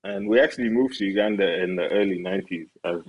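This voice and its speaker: A man from Kenya with a deep, husky voice tells his story loosely, full of "like" and "you know." He rambles and restarts often, and the sound has a radio broadcast feel. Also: fast, low-quality recording. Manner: relaxed, rambling with many fillers and restarts. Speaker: farmer and entrepreneur, guest. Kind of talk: casual conversational storytelling.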